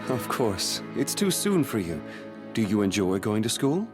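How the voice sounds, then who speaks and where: An actor playing a shrewd, courteous elder-brother prince and statesman in an English anime-dub audio drama, measured and diplomatic. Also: on the low side, big pitch swings, music in the background.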